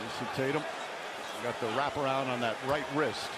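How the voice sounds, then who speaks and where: A man's voice: mid-range voice, fairly even intonation, moderate pace, heavy background noise. A man calling a basketball game live in clipped phrases that follow the action. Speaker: basketball play-by-play announcer.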